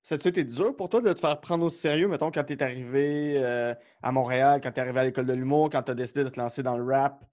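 A man hosting a casual interview, relaxed and mostly giving brief replies. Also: mid-range voice, fast, hardly any pauses. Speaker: interview host.

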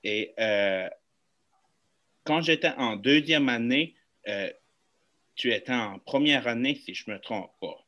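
A man hosts a relaxed podcast interview. His voice is bright and forward, and his friendly, halting delivery is full of fillers. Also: medium pitch, lo-fi sound. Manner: friendly, hesitant, many fillers. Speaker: podcast host.

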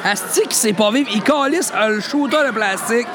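A man's voice: very high voice, lively intonation, brisk, noisy background. A young man with a Quebec French accent, co-hosting a casual podcast chat in a busy bar, joking and swearing freely. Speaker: podcast co-host. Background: bar crowd chatter.

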